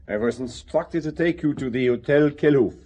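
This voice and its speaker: An actor playing a matter-of-fact taxi driver with a German accent in an audio drama. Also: male voice, a little high, moderate pace, a little mellow, highs cut off, lightly acted.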